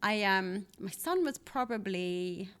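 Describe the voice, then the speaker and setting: A woman's voice: mid-range voice, expressive, slow, fairly bright tone, clear, resonant tone. A woman in her thirties talking candidly as a podcast guest, reflective and chatty, often laughing. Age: 30s. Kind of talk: candid conversational interview.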